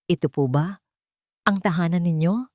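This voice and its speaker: A woman's voice: fairly low pitch, moderate pace, a little mellow, no room sound, studio quality, natural voice. Woman voicing a shy, polite young woman. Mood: shy, curious.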